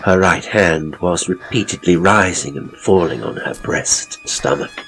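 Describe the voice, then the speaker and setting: A man playing a pompous, theatrical ghost tour guide, telling gruesome tales with suspenseful, drawn-out phrasing in a horror audio story. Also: sits low, a bit bright, faint music behind, poor audio. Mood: suspenseful, grim.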